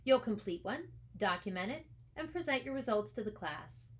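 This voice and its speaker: Storybook schoolteacher, played by a woman reader: adult female, brisk, firmly encouraging, emphatic classroom delivery. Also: fairly high pitch, lively intonation, natural voice. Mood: firm, confident.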